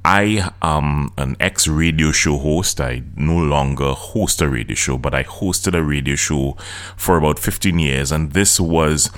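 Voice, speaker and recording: A middle-aged person with a Trinidadian accent, hosting a solo podcast and coaching listeners in an earnest, direct way. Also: male voice, extremely low pitch, unhurried, fairly bright tone. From Trinidad and Tobago.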